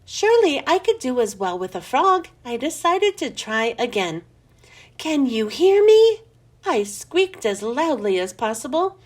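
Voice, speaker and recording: A woman narrating a children's storybook in an expressive, animated read-aloud style. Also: on the high side, moderate pace, hardly any pauses. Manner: animated, storytelling for young listeners.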